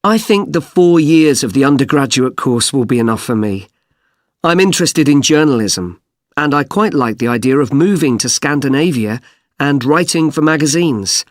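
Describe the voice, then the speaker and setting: Actor as undergraduate: young man, medium pitch, polite and earnest, very dry studio-style exam dialogue. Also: expressive, moderate pace, no room sound, close to an everyday voice. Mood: polite, keen. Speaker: student. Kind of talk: scripted listening-test dialogue.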